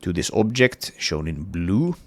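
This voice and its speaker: A man's voice: sits low, sing-song, unhurried, a little mellow, no room sound. A man with a cold and a partly lost voice, talking casually through his game level in a hesitant devlog with many fillers. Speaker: indie game developer.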